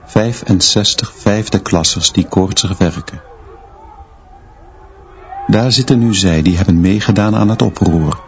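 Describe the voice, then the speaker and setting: An actor playing a stern, austere schoolteacher who narrates a radio drama in terse, measured, clipped sentences. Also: male voice, deep voice. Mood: sober, reflective. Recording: dull sound, noise and murmur behind.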